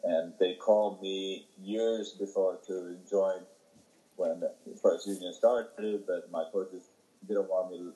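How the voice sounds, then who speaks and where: A young man from Ethiopia giving halting, casual interview answers with many fillers and restarts. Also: low voice, fairly even intonation, mellow, rough recording. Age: young adult. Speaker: interviewee, young soccer player.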